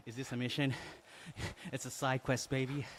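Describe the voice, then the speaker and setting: Man, Australian accent, mid pitch, casual live-stream chat, upbeat, laughs often, faint background noise. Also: level tone, measured pace, fairly bright tone. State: exercising on an indoor bike while talking.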